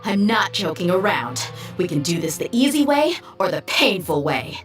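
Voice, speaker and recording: A woman's voice: medium pitch, sing-song, moderate pace, recorded from a distance, faint music behind. A woman streaming a video game and reading the dialogue aloud in a dry, matter-of-fact way.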